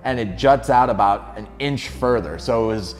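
A man's voice: medium pitch, normal speed. Young man in an echoey garage. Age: young adult. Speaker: car detailer and video host. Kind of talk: casual car talk on camera. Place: large indoor detailing garage. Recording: echoey garage, faint music behind.

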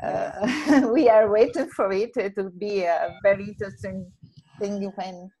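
Slow-spoken Brazilian woman on a video call. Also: medium pitch, slightly rough, poor audio. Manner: slow, warm laughter between phrases. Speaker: meeting host and teacher. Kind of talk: casual video-call talk. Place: home room. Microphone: earphone headset mic on a video call.

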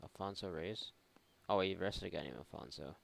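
A man's voice: sits low, fast, gravelly. A man doing casual, irreverent let's play commentary, mocking and thinking aloud. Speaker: gaming YouTuber.

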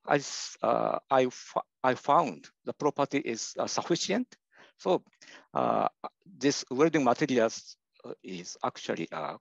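Older male lecturer, Japanese accent, gravelly, halting delivery full of fillers; dry lo-fi sound. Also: mid-range voice, no room sound. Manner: hesitant, many "uh" fillers and restarts. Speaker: university professor giving a lecture. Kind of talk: slide lecture. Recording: dull lo-fi dry recording.

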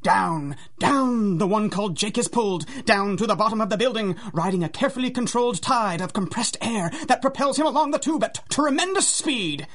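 A man's voice: high-pitched, expressive, fairly quick, hardly any pauses, fairly bright tone. A man narrating a 1930s-style radio adventure serial in a theatrical, suspenseful manner.

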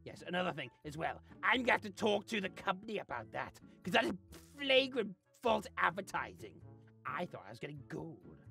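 A performer voicing a grumpy, greedy, scheming little creature in a comedy game series, dramatic and sulky, swinging from mutters to shouts. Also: medium pitch, sing-song, a little fast, gravelly, soft background music, heavily acted. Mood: grand, disdainful. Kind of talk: voice-acted comedy over gameplay.

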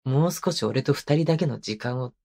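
Young husband male actor for ASMR roleplay. Soft husky breathiness, mid pitch, affectionate, needy, teasing, close-miked. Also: normal speed. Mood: gentle, earnest. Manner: murmuring, playful, coaxing. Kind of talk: intimate ASMR roleplay voice drama. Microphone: close microphone, binaural.

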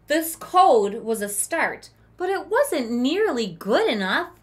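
A woman in her thirties reading a picture book aloud to children in a deliberate, emphatic storytelling manner, pausing to explain things as a teacher would. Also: high voice, big pitch swings. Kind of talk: expressive children's read-aloud with explanatory asides. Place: small room with children's book shelves.

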